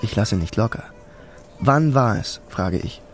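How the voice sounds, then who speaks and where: An anxious traveler narrating. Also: male voice, on the low side, lively intonation, measured pace, over music. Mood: brooding. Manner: introspective, insistent. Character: anxious male traveler narrating in first person. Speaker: narrator in radio play. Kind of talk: radio drama narration.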